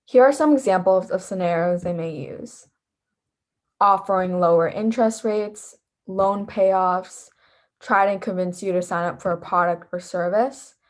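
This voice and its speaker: A woman's voice: mid-range voice, a little slow. Teenage girl narrating a scripted lesson. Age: teens. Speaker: volunteer instructor.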